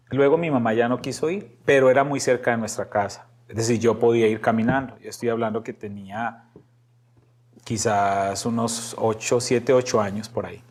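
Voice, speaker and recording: A man's voice: medium pitch, a little mellow. A middle-aged Colombian man with a paisa accent, telling personal stories casually as a podcast guest and acting out bits of dialogue. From Medellín, Antioquia, Colombia.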